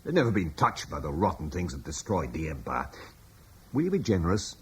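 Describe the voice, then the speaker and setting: A man's voice: low voice, sing-song, a little fast, slight background noise. An eloquent older Roman-born father. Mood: persuasive. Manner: reflective and persuasive, eloquent. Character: thoughtful older Romano-British father, idealistic. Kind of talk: radio drama acting.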